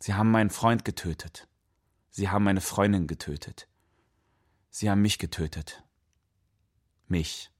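A man's voice: deep voice, measured pace, pauses often. An actor playing a grieving, bitter young man of immigrant family in a radio play. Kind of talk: radio play acting, poetry recital.

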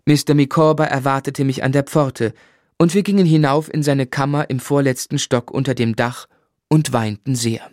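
An actor playing a grown man who narrates his own boyhood in a measured, reflective, literary way in a German radio drama. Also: medium pitch, steady tone, a little mellow, close to an everyday voice. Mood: matter-of-fact.

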